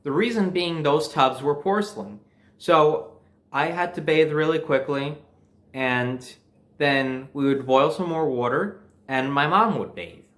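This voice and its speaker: A young man telling personal stories in a casual, animated way, swearing freely and acting out bits of dialogue. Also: fairly high pitch, measured pace. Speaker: storyteller.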